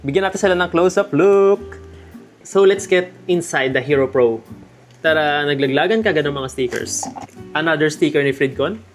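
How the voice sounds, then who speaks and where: A man's voice: fairly high pitch, moderate pace. A man with a Filipino accent gives a casual, chatty product review, speaking directly to viewers in a jokey way. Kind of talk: casual unboxing vlog narration. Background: background music.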